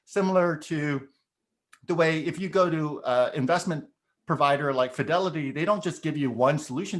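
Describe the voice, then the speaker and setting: A middle-aged man whose first language is Korean, explaining ideas in a casual discussion and checking in with "right?" as he goes. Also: mid-range voice. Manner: thoughtful, explains with examples, frequent "right?". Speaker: education podcast guest.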